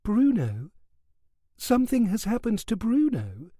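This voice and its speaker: Male narrator voicing a guarded young hostess: lifted, slow, polite. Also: high-pitched, wildly swooping pitch, dark tone, no echo, clean studio sound, voice pushed up, heavily acted. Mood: anxious.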